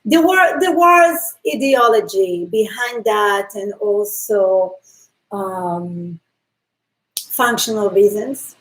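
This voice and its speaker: A woman from Israel co-hosting a casual talk show, speaking with pauses and fillers. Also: medium pitch, rough recording. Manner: slow, hesitant, many fillers. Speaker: podcast co-host. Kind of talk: casual conversation.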